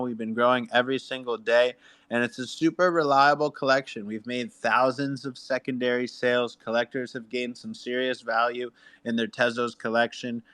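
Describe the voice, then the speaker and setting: Hyped man pitching to his listeners. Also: a bit bright. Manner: hyped, salesy, addressing listeners as guys. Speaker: music artist and radio host. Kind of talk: promotional livestream pitch.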